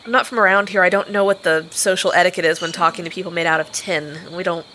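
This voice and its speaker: A woman's voice: fairly low pitch, steady tone, fast, bright, forceful tone, a little hiss or hum, low-quality recording. A woman playing a plucky, sarcastic young farm girl in an audio drama, wry, blunt and exasperated. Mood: friendly.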